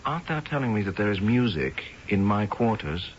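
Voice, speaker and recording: A young colonial army officer in an old radio play, swooping widely in pitch. He is imperious, exasperated and theatrically sardonic, heard through dull sound with faint noise. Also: big pitch swings, moderate pace. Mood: impatient. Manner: theatrical, mock-archaic, sardonic. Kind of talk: radio drama acting.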